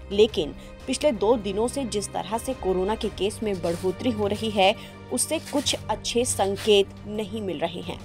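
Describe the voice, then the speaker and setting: Lady reading the news, formal, medium pitch, music behind her. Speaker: news presenter. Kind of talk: scripted news narration. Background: background music.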